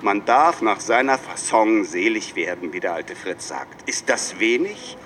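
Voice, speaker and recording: A self-important, eloquent lawyer in a radio drama, with a low voice. He declaims rhetorically, like a speech, over clear vehicle noise. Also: animated, unhurried, a bit bright. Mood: declaiming. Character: pompous, eloquent lawyer championing old Prussia. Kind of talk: German radio drama acting. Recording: clear background noise in a moving car.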